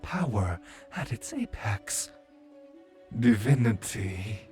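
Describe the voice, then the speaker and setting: A man playing a mad, zealous villain, a servant of a moon goddess, preaching in a grandiose, drawn-out way in a fantasy audio roleplay. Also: fairly low pitch, very animated, darkish tone, soft background music. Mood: ominous wonder.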